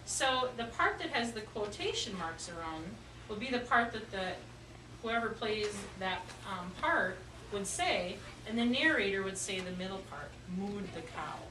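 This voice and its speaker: A woman's voice: medium pitch, animated, unhurried. A woman teaching young children in a classroom, patiently asking questions and repeating their answers back. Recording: echoey classroom, distant mic, noisy.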